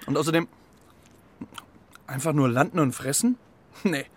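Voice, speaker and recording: A man's voice: a little high, measured pace, breathy edge. A man playing a cocky, boastful street pigeon in a children's radio play, swaggering and talking in clipped colloquial bursts. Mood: boastful, gruff. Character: cocky scrappy male street pigeon, boastful and gruff-hearted.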